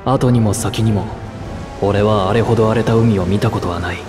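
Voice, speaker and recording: A man's voice: lowish voice, fairly even intonation, moderate pace, roomy sound, in a large hall, music in the background, close to an everyday voice. A man voicing a laconic, dry-toned narrator. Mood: reflective. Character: calm, laconic male adventurer recounting the past. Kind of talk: reflective game narration.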